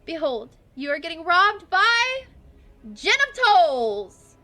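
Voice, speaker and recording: A self-important bandit leader for a fantasy audio drama. She is bright and forceful, with big theatrical swoops in every boast. Music plays behind her. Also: extremely high pitch, very animated, takes it slowly, heavily acted. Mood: smug, boastful. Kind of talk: fantasy audio roleplay acting.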